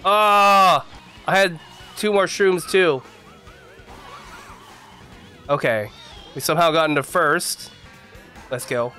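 A young man with a congested voice from the flu, giving casual, excitable commentary as he plays a racing game at home on a desk mic. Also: fairly high pitch. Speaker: gaming YouTuber. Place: home gaming room. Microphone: desk mic, face camera.

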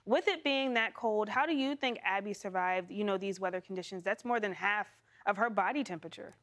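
A woman's voice: medium pitch, brisk, a bit bright. A woman co-anchoring a studio news show, asking a guest questions in a friendly, polished way.

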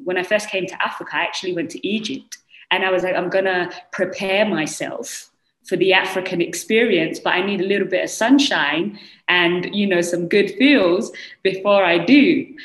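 A young woman with a strong British accent, telling her story as a panelist on a video call, animated and full of "you know"s. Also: fairly low pitch, echoey room, poor audio. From United Kingdom. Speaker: panelist, entrepreneur. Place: small room indoors. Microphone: earphone mic on a video call.

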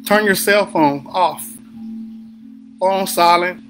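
A man guiding a sleep meditation in a soothing, deliberate manner with unhurried pauses. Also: high-pitched. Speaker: meditation guide. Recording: background music under the voice. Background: music.

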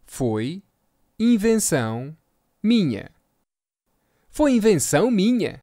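A speaker with a European Portuguese accent, slowly repeating phrases word by word for learners. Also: mid-range voice, very animated, mellow, no echo, studio quality. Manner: slow, carefully articulated word-by-word repetition. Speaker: language teacher. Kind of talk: slow repetition drill for learners.

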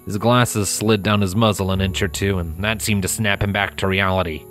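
Low-voiced man narrating a story over music. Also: steady tone, normal speed, a bit bright. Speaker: narrator of a fan fiction audiobook. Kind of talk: scripted dramatic story narration.

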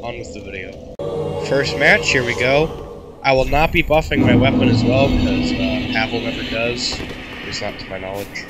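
A man's voice: mid-range voice, moderate pace. A teenage boy with a congested voice, giving sarcastic, casual commentary while playing a video game and coughing now and then. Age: teens. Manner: sarcastic, mock-grumpy banter. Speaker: gaming YouTuber. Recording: game music playing loudly behind.

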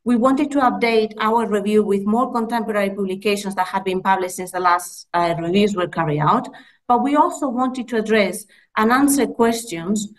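A woman in her thirties with a Spanish accent, a midwifery lecturer presenting her research over a video call in an earnest, measured way with fillers and self-corrections. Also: medium pitch, poor audio. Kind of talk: conference presentation. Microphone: laptop mic on a video call.

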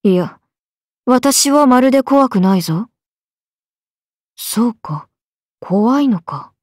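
A woman's voice: fairly high pitch, expressive, takes it slowly, a little mellow, no echo, studio quality. A woman voicing a young swordswoman character in a video game. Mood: calm, blunt. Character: swordswomen: a lively young one and her stoic alter self.

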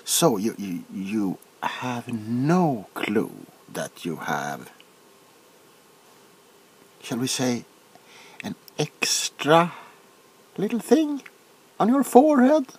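Guy doing a flustered soft-spoken doctor for funny asmr, slow n stammery, pitch swoops all over. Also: wildly swooping pitch, a little hiss or hum, heavily acted, low-quality recording. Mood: awkward, flustered. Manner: hesitant, stammering, repeats himself. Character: nervous, awkwardly polite, bewildered male doctor. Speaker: ASMR performer.

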